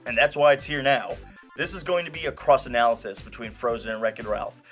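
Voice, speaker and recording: A man's voice: medium pitch, fairly quick, a bit bright, faint music behind. A man hosting and narrating a scripted film review in a wry, conversational, emphatic way. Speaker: film reviewer, show host.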